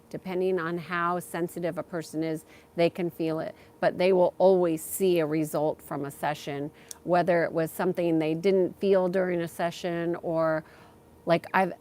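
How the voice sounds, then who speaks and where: A woman with a slightly low voice talks casually as a livestream host. She speaks haltingly, thinking aloud as she goes, in the informal way of a spiritual guide fielding questions from viewers.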